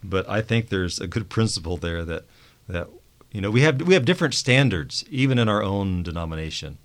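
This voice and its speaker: A man's voice: low-pitched, expressive, a little fast. A man on a Bible study panel who explains the passage, often repeating words and ending points with "right?". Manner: repeats words while thinking, adds tag questions.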